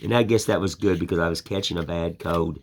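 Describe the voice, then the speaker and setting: Older man, American accent, low voice, gravelly texture, folksy, rambling, casual carving chat. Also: fairly even intonation, fast. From United States. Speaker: woodcarving channel host.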